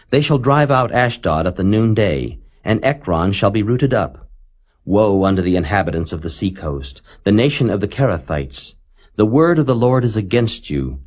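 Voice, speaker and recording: Very deep-voiced man reading scripture. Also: a little mellow. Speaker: Bible narrator.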